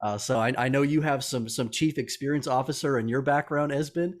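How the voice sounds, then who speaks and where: A man's voice: medium pitch, fairly quick. A man hosts a webinar over a video call, introducing the guest with enthusiasm. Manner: upbeat, enthusiastic. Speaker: host.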